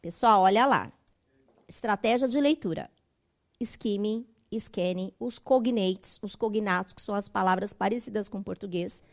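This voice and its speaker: A woman's voice: mid-range voice, normal speed, creaky. A woman, teaching a school English lesson conversationally and often checking in with tag questions. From Brazil.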